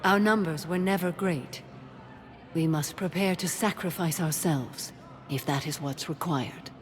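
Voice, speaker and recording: Low-voiced, stoic warrior-monk. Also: female voice, unhurried. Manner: composed, grave, formal. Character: stoic, ancient alien warrior-monk woman, serene and stern. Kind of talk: cinematic video game acting. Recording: long hall reverb.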